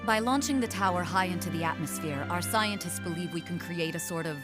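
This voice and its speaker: An actress playing a composed, formal woman in military service in an animated drama, speaking precisely. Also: lowish voice, brisk, over loud music, lightly acted. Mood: calm, assured.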